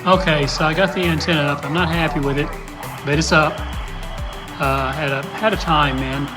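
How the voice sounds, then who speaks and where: A man's voice: fairly high pitch, steady tone, normal speed, music in the background. A man talking casually and plainly as a radio hobbyist, narrating while he shows his gear. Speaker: amateur radio hobbyist on holiday.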